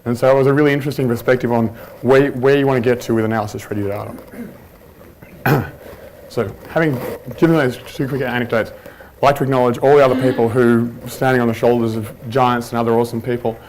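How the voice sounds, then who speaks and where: A man giving an informal conference presentation on a handheld mic, chatty and relaxed, with plenty of ums and restarts. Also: mid-range voice, fast talker, a little hiss or hum, rough recording. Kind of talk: informal conference talk with anecdotes. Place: conference room at a workshop. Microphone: handheld microphone.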